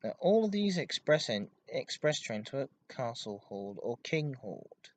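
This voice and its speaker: A man hosting a hobby podcast, giving an informative enthusiast monologue in a halting way with stressed intensifiers. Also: mid-range voice, sing-song, a little mellow, no room sound. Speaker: podcast host. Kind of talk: informative enthusiast monologue about railway history.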